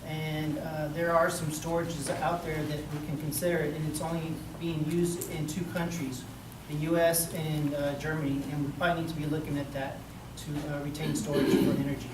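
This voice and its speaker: A candidate at a public forum giving a blunt, halting answer full of fillers. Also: medium pitch, little pitch movement. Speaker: council candidate. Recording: echoey hall, distant mic, noisy.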